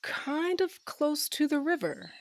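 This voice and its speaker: A practical, level-headed wife on a quest, played by a woman in a fantasy audio drama. Her delivery is slow and deadpan, with dry humour. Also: high-pitched, full character voice. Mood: practical, amused.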